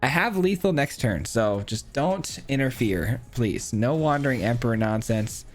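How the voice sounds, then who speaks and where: A man with a hoarse, cracking voice gives casual commentary on his own card game, counting aloud and thinking through his plays. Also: medium pitch, expressive, moderate pace, soft background music. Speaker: gameplay streamer. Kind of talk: casual live gameplay commentary.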